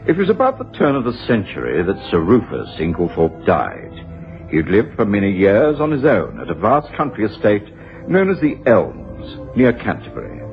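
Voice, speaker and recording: A mild, sceptical gentleman chronicler in a radio play. His voice is low and moves in lively swings, with formal, measured phrasing. The sound is a dull old radio broadcast. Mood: measured reminiscence. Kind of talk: radio drama acting, narration and dialogue. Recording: dull 1980s radio broadcast, faint music.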